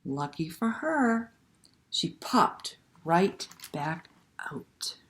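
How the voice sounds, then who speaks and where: A woman's voice: lowish voice, big pitch swings, drawn-out words, a bit bright, a little hiss or hum. A woman hosting a library story time, reading aloud and talking to young children with simple questions and prompts.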